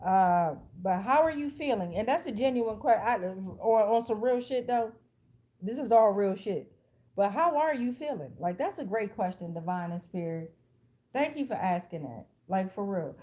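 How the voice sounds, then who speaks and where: The speaker is an American woman with a somewhat high voice. She improvises a tarot reading for her online audience in a casual, streetwise way. Her talk is blunt and full of profanity, and she circles back to phrases to drive them home. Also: lively intonation, measured pace, muffled recording. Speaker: tarot card reader, channel host.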